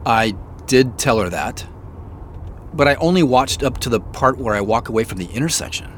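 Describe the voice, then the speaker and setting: A man's voice: mid-range voice, expressive, moderate pace, a bit bright, lightly acted. An actor playing a puzzled, guarded ordinary American man in an audio drama, talking casually and with hesitation. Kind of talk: naturalistic audio drama dialogue. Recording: faint noise behind the voice.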